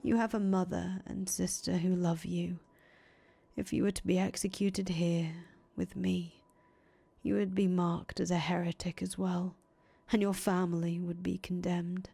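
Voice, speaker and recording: A woman voicing a soft-spoken young man condemned as a heretic, talking quietly in breathy whispers. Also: fairly low pitch, unhurried, no echo. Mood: whispered farewell. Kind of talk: audio drama acting.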